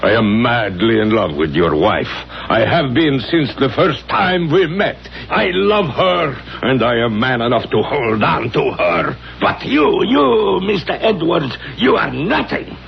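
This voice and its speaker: A grandiose drama teacher for a radio play, imperious and theatrical. His delivery is slow and gravelly with big swings of pitch. The old recording is dull. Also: big pitch swings, slight background noise. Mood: grandly scornful. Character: theatrical male acting coach, imperious, provoking his pupil. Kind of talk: 1970s radio drama acting.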